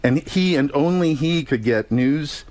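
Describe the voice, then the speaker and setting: A man telling casual anecdotes as an interview guest, hesitant and halting, with many ums and self-corrections. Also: a little high, lively intonation, a little mellow, low-quality recording. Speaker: radio show guest, TV comedy writer-producer.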